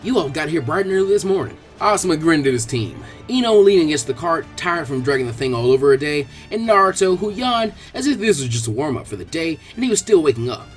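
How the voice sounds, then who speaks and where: A man reading fan fiction aloud in expressive scripted narration. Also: a little high, fast, hardly any pauses, soft background music.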